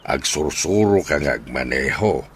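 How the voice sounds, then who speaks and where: A man playing a stern but generous old grandfather in a radio drama, with a husky voice. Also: rumbling low, expressive, measured pace, slight background noise, exaggerated voice. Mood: matter-of-fact.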